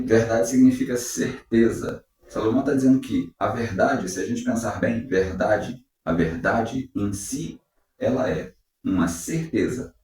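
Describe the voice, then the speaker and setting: Mellow man in an echoey room. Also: on the low side. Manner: measured, uses rhetorical questions. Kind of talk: reflective devotional talk. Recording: very echoey room, distant mic.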